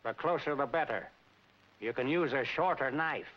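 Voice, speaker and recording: A man's voice: medium pitch, moderate pace, close to an everyday voice. An actor playing a scheming, domineering businessman who bullies and sneers, in 1940s film comedy style. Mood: scolding, scornful. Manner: domineering, insulting, sarcastic. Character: scheming, bullying businessman, gloating and threatening. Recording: dull old film soundtrack with music.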